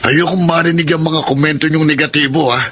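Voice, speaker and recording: A man's voice: fairly high pitch, fairly even intonation, a little fast, a bit bright, slight background noise, close to an everyday voice. A man acting a scheming, bossy gang leader in a radio drama, domineering, impatient and cocky.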